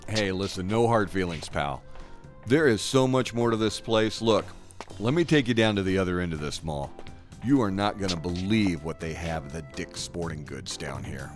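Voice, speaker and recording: A breezy trickster tour guide of hell played by a man in a comedic radio play, teasing and casual. His lowish voice moves up and down a lot over faint music. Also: expressive, moderate pace.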